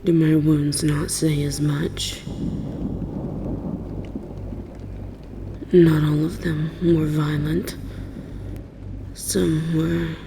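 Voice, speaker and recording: A woman voicing an aloof, wounded, world-weary dragon in a measured, solemn, formal roleplay monologue. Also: sits low, flat delivery, vocal fry, noisy background, exaggerated voice. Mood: wary, sombre. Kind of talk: slow dramatic roleplay audio monologue.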